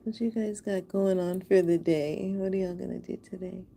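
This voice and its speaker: A woman's voice: mid-range voice, steady tone, normal speed, vocal fry, warm tone, slight background noise. An American woman from Houston, Texas, chatting casually and affectionately with viewers as she hosts a live art stream. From Houston, Texas, USA. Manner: affectionate, appreciative, addresses viewers directly. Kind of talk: casual livestream chat with viewers while making art.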